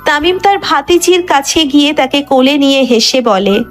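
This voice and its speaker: High-voiced woman narrating a story over music. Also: animated, moderate pace. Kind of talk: scripted dramatic story narration. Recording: background music under the voice.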